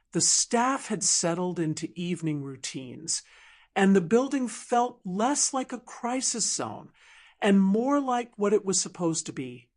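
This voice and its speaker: A woman reading a dramatic story in a measured, deliberate audiobook narration style. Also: on the low side. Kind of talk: scripted dramatic fiction narration.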